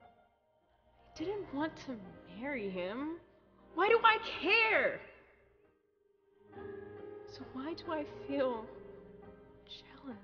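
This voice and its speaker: Insecure, jealous nymph played by a woman: high voice, emotional and hot-tempered, music underneath. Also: sing-song, extremely slow, pauses often, muffled recording, full character voice. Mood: hurt, jealous. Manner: emotional, swinging from brooding to outbursts. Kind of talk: dramatic webcomic dub acting.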